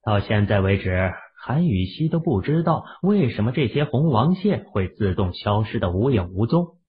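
A man narrating a serialized audio drama in an expressive storytelling manner. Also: on the low side, normal speed. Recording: tinny phone-like audio.

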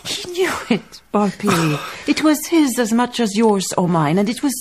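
An actress in a radio drama playing a dignified, thoughtful baroness, telling her tale in measured literary phrases. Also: a little high, very animated, a little hiss or hum. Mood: storytelling. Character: reflective, dignified baroness, storytelling colonial farm owner. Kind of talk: radio drama acting and narration.

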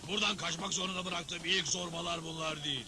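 A man's voice: fairly high pitch, fairly even intonation, fast, loud music behind the voice, natural voice. A man voicing a bossy, stubborn, boastful Wild West judge in a Turkish cartoon dub. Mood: threatening. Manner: bossy, threatening. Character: bullying, stubborn frontier judge, cantankerous and boastful.

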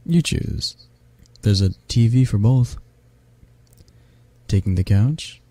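A man acts out a tall, affectionate half-dragon guy in an ASMR roleplay. His low voice is mellow and husky, right up close and dry. He takes his time, teasing and flirting gently. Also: animated, slow, dry recording. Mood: teasing, flirty. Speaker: roleplay voice actor.

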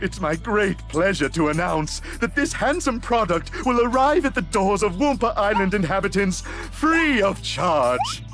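A man voicing a pompous, scornful mad-scientist villain, giving a grandiose showman's infomercial pitch in a cartoon game. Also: big pitch swings, fairly bright tone, heavily acted. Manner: grandiose, drawn-out showman delivery. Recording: music behind the voice.

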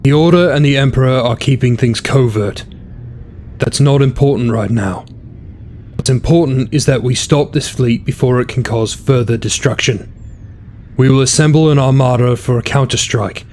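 An actor playing a brisk, formal, authoritative marshal in a science fiction audio drama. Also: male voice, medium pitch, a little mellow, lightly acted. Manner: formal, commanding. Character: brisk, authoritative military marshal in space opera. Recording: faint background ambience noise.

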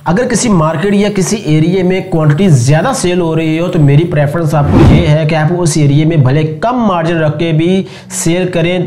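High-pitched Pakistani man narrating. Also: a little mellow. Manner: addresses viewers directly, instructive. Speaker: video host. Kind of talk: scripted explainer voice-over narration.

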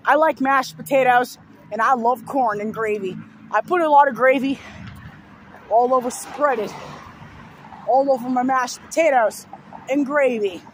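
A hoarse young man with a sore throat rambling through repeated simple lists in a casual vlog, filmed outdoors beside a road on a phone held at arm's length. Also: very high voice, unhurried, long pauses. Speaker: vlogger. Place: outdoors on a fenced overpass beside a busy road. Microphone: phone held low at arm's length. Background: faint passing traffic.

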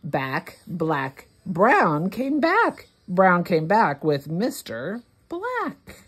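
Very slow, expressive woman reading aloud. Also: fairly low pitch, husky. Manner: deliberate, word-by-word, expressive delivery for early readers. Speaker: children's read-along host. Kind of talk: read-aloud of a rhyming picture book for young children.

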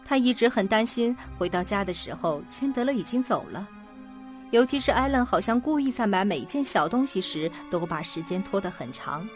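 A woman's voice: mid-range voice, animated, moderate pace, faint music behind. A woman narrating a radio drama from a script.

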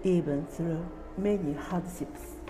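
A woman in her eighties, a native Japanese speaker, reading her prepared testimony in English carefully and deliberately. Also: on the low side, in a large hall, noisy background. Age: 80s. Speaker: atomic bombing survivor. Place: indoor room, seated at a table.

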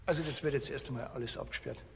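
An actor playing a grumbling small-town policeman with a Bavarian accent, laconic and deadpan. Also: mid-range voice, racing, slight background noise, close to an everyday voice. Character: dry, grumbling small-town policeman, middle-aged man. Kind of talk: naturalistic TV crime comedy acting.

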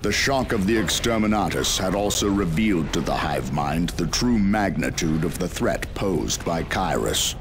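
An actor playing a solemn, ominous narrator of a dark war in scripted video game cutscenes, speaking deliberately. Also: male voice, low-pitched, vocal fry, noisy background, exaggerated voice. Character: grave, ominous male chronicler of a dark war.

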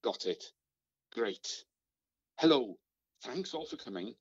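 A man's voice: medium pitch, expressive, takes it slowly, slightly rough, no echo, dull audio, exaggerated voice. An actor, a man playing a polite, hesitant community organiser in a radio play. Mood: anxious, chivvying. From Swansea, Wales (the character).

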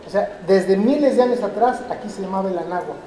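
A man in his fifties from Mexico lectures a roomful of teachers, lively and questioning, pressing every point. His voice is mellow and dark. It rings out through a large hall with a long echo, caught by a camera some way off. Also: high voice, fairly quick, a little hiss or hum. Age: 50s. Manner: lively, asks the audience questions and drives points home. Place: large roofed hall with metal roof beams. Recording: echoey hall, camera at a distance.

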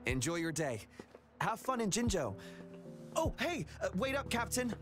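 A man's voice: sits high, big pitch swings, normal speed. A man voicing a suspicious, eager young rookie patrolman in a video game, with a questioning, official manner. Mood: accepting. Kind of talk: video game story dialogue acting. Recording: game music behind the voice.

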